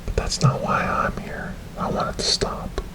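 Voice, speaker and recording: A man playing a calm, hypnotic government paranormal investigator in a whispered ASMR horror role-play, speaking softly, breathily and deliberately. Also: close to an everyday voice. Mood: earnest, reassuring. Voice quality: soft, breathy, often dropping into whisper. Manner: hushed, deliberate, repetitive, hypnotic. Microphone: close binaural microphone. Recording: noisy close binaural recording.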